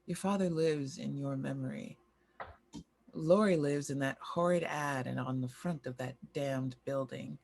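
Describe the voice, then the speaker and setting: A woman's voice: extremely low pitch, measured pace, vocal fry, a little mellow, full character voice. A woman acting a proud, wry aging former child star in a screenplay table read, with a dry theatrical manner. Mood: bitter, resigned.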